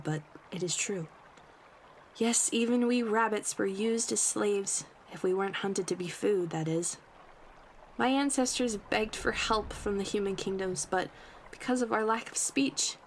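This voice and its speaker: A woman's voice: mid-range voice, a little hiss or hum, natural voice. A woman voicing a proud, solemn talking rabbit of the forest, speaking earnestly and formally in a fantasy roleplay monologue. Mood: earnest, sorrowful. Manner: earnest, formal storytelling in measured phrases.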